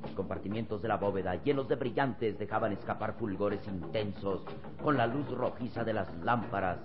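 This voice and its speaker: A man's voice: on the low side, moderate pace. A man narrating a radio serial in an emphatic, theatrical style full of suspense. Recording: dull old radio recording with music.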